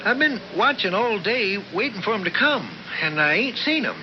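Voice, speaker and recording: A high-voiced, folksy country storekeeper with a rural American accent, fretful and plain-spoken. The old radio drama recording is muffled and noisy. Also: male voice, big pitch swings, normal speed. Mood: worried. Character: folksy, worried small-town male storekeeper.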